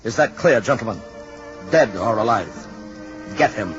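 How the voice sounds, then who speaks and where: A man's voice: on the low side, lively intonation, a little slow, loud music behind the voice. A man playing a stern, commanding American army general in an old-time radio drama, speaking with formal, clipped military authority. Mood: hard, resolute. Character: stern commanding male army general chairing an emergency council. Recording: dull, noisy vintage radio broadcast.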